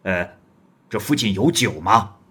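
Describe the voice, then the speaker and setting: A man voicing a bold, hearty, middle-aged red-bearded male wanderer who talks loudly and bluntly. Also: animated, a little slow, breathy edge, heavily acted. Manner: loud, booming, blunt.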